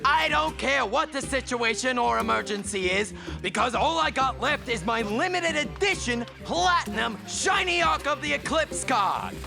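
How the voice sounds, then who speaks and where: Sarcastic, excitable male hero male actor, high-pitched with gravelly creak, comedic audio drama. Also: normal speed, hardly any pauses, music in the background, full character voice. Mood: frantic. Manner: sarcastic, slangy, exclamatory.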